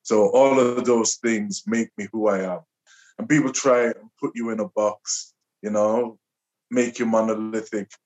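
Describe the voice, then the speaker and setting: Low-voiced man, reflective. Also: fairly even intonation, a little mellow, low-quality recording. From Liverpool, England. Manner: reflective, measured, with pauses and restarts. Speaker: guest poet. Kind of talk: conversational interview.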